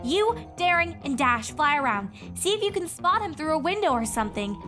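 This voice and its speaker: A woman voicing an anxious, intense young unicorn princess in a cartoon-style story reading, fretful and high-strung. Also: big pitch swings, fairly quick. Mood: brisk, commanding. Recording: music behind the voice.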